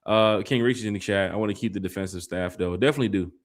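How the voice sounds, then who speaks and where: A man's voice: lowish voice, racing. A man co-hosting a casual sports podcast, talking in a laid-back, joking drawl. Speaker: podcast co-host.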